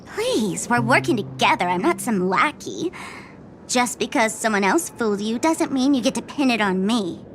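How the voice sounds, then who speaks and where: A woman's voice: on the high side, sing-song, moderate pace, a little hiss or hum. A woman playing a brash, blunt informant in a video game, speaking expressively with an exasperated edge. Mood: indignant. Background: game music.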